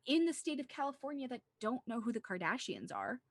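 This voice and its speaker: A woman's voice: a little high, sing-song, a little fast, no room sound. A woman co-hosting a casual movie discussion, talking in an earnest, rambling way with plenty of "like". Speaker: podcast co-host.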